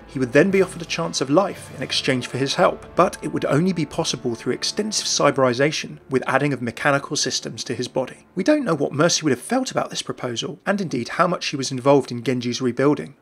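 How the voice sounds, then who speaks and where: A man with a somewhat high-pitched voice delivers scripted narration, steady and explanatory as he walks through a character's history. Soft music plays faintly underneath. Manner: measured, explanatory storytelling. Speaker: game lore narrator.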